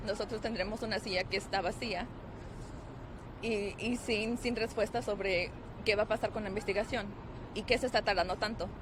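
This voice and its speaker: A grieving woman, sister of the victim, gives a halting, emotional statement at a press conference. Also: mid-range voice, steady tone, noisy background. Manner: halting, emotional, with many restarts.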